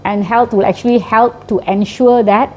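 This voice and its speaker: A woman's voice: on the high side, steady tone, moderate pace, poor audio. A middle-aged woman teacher with a Malaysian English accent, explaining her work in an interview and often ending points with a tag question. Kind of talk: interview answers.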